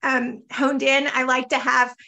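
High, scratchy-voiced woman host. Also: a little slow, creaky. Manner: lively, candid, laughs easily. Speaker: podcast host. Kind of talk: conversational interview. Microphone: webcam on video call.

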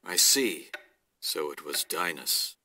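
A man playing a stern, calculating middle-aged lord in a video game, measured and authoritative. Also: very deep voice, animated, sharp tone, slight background noise, full character voice. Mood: cold command. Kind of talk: video game cutscene acting.

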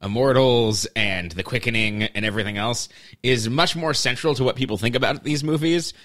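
A man co-hosting a casual podcast, jokey and opinionated. He speaks at an ordinary middle pitch. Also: fairly quick, a bit bright. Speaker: podcast co-host. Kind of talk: casual chat.

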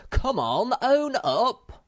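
Troll boy for children's story man voicing, keen, earnest, higher register. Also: expressive, a little fast, a little mellow, slightly rough, dry recording, clean studio sound. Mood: accusing. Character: young male troll child, eager and earnest.

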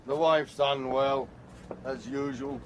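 A man acts a dour, weary detective in a radio drama. He is curt and matter-of-fact at an average male pitch, with slight background noise. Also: fairly even intonation, slow pace, natural voice. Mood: glum. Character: dour, morose, world-weary male police inspector.

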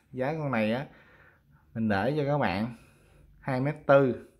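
A man with a Southern Vietnamese accent casually showing goods for sale, talking informally to viewers as friends. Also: mid-range voice. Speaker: fishing rod seller presenting products. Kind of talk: casual product showcase.

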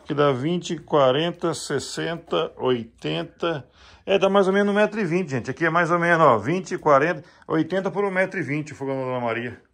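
A man's voice: on the high side, a little fast, vocal fry, a bit bright, no room sound. A man chatting casually to viewers as a vlog host, in a folksy way full of little check-in tags. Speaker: vlog host filming a visit. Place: visit to a rural house and its yard.

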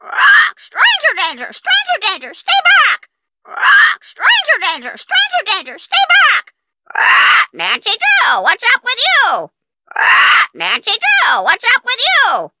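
A voice actor playing a cheeky, boastful, greedy pet parrot in a video game, squawking short chanted phrases with a shrill tone. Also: huge pitch swings, slow, very noisy, exaggerated voice. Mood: alarmed. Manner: chanting short phrases in repeats, bossy and bragging. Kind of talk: cartoonish video game character acting.

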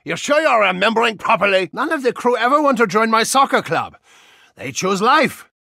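A boisterous German soccer hooligan from a video game, with a bright, forceful edge and plenty of gravel. His German-accented delivery is bombastic and comic, a brawler with a soft side. The sound is close and dry. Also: high-pitched, sing-song, normal speed, no echo, full character voice. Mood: blustering, chatty. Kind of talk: animated video game character lines.

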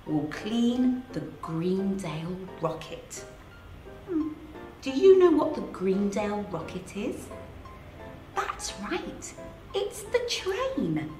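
Middle-aged English teacher, storybook read-aloud; bright, bouncy and unhurried, roomy echo with soft music under. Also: female voice, on the high side. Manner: animated, sing-song, asks children questions. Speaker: head of early years, reading aloud to children. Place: in front of a green screen with book pages. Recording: echoey room, faint music behind.

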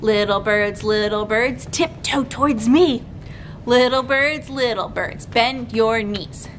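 A young woman leading a children's storytime, reading aloud with deliberate, clearly articulated phrasing as a librarian would for small kids. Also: a little high, slight background noise. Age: young adult. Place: library room with bookshelves, indoors.

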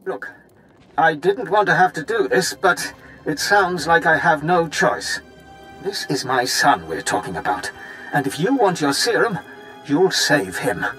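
A man's voice: a little high, sing-song, measured pace, sharp tone, soft background music, exaggerated voice, rough recording. An actor playing a wry, blustering Imperial officer and anxious father in a video game, speaking in a dramatic, emphatic manner. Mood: desperate, threatening. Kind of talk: video game character dialogue.

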